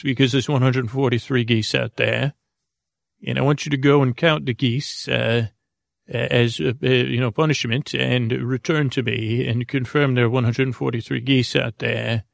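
Man playing a creaky, pompous rich master. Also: mid-range voice, dry recording, studio quality. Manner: pompous, exacting, hesitant. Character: fussy, super-rich master ordering his servant about.

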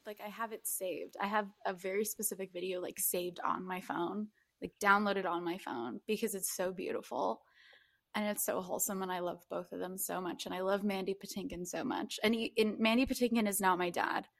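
Female podcast co-host, medium pitch, gushing and emphatic conversational delivery, very dry recording. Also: steady tone, fairly quick, vocal fry, dry recording. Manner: effusive, emphatic, repeats phrases. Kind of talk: casual, gushing film chat.

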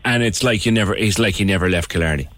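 A man's voice: on the low side, quick pace, fairly bright tone, slight background noise. A man hosting a radio show, chatting and joking with his guests in a casual interview.

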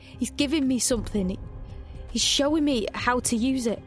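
A woman's voice: sits high, moderate pace, soft background music, natural voice. An actress playing a determined fugitive, narrating her ordeal as an intense inner monologue in an audio drama. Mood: awed, determined.

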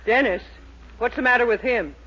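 A woman's voice: a little high, moderate pace, natural voice. An actress playing a cranky, quarrelsome mother, in a tart 1940s radio sitcom style. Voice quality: tart, sharp-tongued, theatrical delivery. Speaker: Mildred's mother. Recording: dull old radio broadcast.

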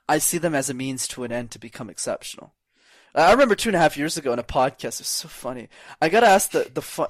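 A young man talking as a speaking coach in a podcast conversation, energetic and acting out quoted voices, with casual words like "man" and "brother". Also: on the high side, dry recording. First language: not English; speaks French as second language.

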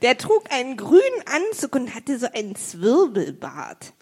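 A woman playing a confused, hard-of-hearing old lady in a comedic audio play, talking slowly and loudly. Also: sits high, huge pitch swings, slightly rough, full character voice. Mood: puzzled. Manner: mishears, confused, slow and loud.

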